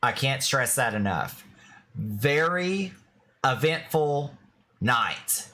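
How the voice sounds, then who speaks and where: A man's voice: medium pitch, sing-song, takes it slowly, sharp tone. A man in his thirties chatting casually and rambling on his live stream. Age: 30s.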